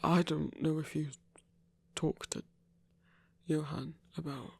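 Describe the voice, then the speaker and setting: A man plays a shy, smitten young hunter in an intimate audio drama. His voice is breathy and husky with some gravel, unhurried and halting, full of awkward stammers. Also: a little high, fairly even intonation, no echo, heavily acted. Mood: earnest, nervous. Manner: hesitant, stammering, nervous little laughs. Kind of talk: intimate ASMR audio roleplay.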